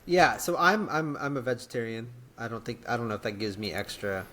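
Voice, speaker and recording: A man's voice: mid-range voice, animated, brisk, bright, forceful tone. A man chatting casually as a podcast co-host, easygoing and a little hesitant as he gets going. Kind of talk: casual chat.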